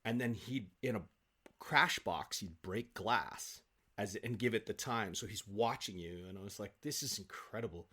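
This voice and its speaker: A man talking as an interview guest, an actor who rambles excitedly and repeats himself for emphasis in casual chat. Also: fairly low pitch, normal speed, slightly rough.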